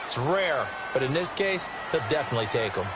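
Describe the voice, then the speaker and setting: A man's voice: mid-range voice, sing-song, a little fast, very noisy, lightly acted. A male actor playing an emphatic, explanatory American color commentator in a football video game, talking in broadcast analysis style. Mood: emphatic, explaining.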